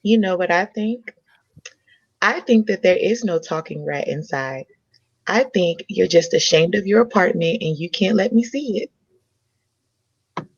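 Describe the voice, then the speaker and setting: Lady doing a frank good-natured girlfriend in a comedy read, bouncy pitch, kinda lo-fi sound. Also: animated, unhurried, long pauses, breathy edge, no room sound, exaggerated voice. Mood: firm, frank. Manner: direct, conversational. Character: forthright, good-natured young woman courting a shy man.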